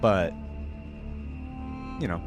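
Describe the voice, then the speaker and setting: A man's voice: very slow, no echo, faint music behind. A man giving casual, opinionated reaction commentary as a host, musing aloud in an informal way.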